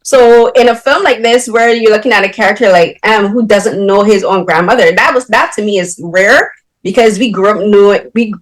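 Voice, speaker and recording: A woman's voice: medium pitch, moderate pace, low-quality recording. Woman asking long film questions. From Barbados. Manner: long winding questions with many fillers. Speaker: interviewer. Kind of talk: film interview.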